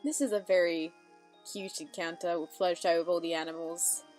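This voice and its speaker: A woman's voice: on the low side, steady tone, a little fast, a little mellow. A woman giving casual, off-the-cuff reaction commentary, musing aloud as she watches. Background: faint music from the video.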